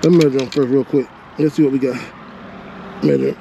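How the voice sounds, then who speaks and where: A man's voice: medium pitch, fairly even intonation, brisk, gravelly, mellow, slight background noise. A man fishing from a rocky shore outdoors, talking to himself and to the fish in casual running commentary, picked up by a body-mounted action camera. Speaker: fishing vlogger.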